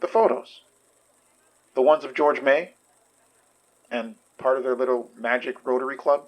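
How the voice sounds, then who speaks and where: A woman's voice: rumbling low, steady tone, measured pace, muffled recording. A woman playing a plain-spoken paranormal investigator in an audio drama. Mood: matter-of-fact.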